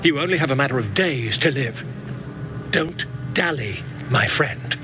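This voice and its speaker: An actor playing a chatty, self-important eccentric amateur surgeon in a video game, with florid, genteel manners. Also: male voice, mid-range voice, lively intonation, a little slow, music in the background, natural voice. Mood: pressing. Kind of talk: theatrical video game character acting. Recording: noisy game ambience behind.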